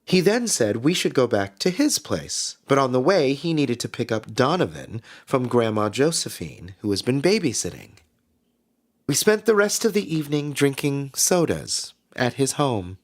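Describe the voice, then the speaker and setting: A man playing an eerily earnest small-town radio host in a scripted audio drama, with theatrical, deliberate phrasing over music. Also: mid-range voice, sing-song, natural voice. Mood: wistful, confiding. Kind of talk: scripted community radio broadcast narration. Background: music under the voice.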